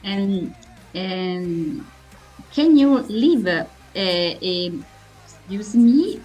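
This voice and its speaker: Slow, halting Italian woman interviewer. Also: mid-range voice, animated, darkish tone, soft background music, low-quality recording. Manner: halting, searching for words. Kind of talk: interview questions.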